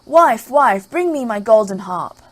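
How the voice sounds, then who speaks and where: Booming storybook ogre, gruff and greedy, with a husky edge; a woman reads him. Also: lively intonation, measured pace. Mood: bellowing. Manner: loud bellowing calls.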